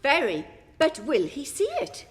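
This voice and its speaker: A woman playing a humble female housekeeper in a radio play, with a deferential, drawn-out theatrical delivery. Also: high-pitched, very animated. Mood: dutiful. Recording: echoing hall-like reverb.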